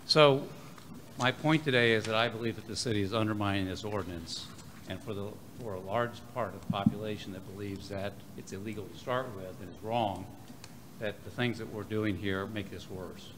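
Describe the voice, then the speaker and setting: Booming low-voiced man at a podium. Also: moderate pace. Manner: emphatic, booming. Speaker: public commenter. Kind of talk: public comment speech. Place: city council chamber. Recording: noisy public meeting audio.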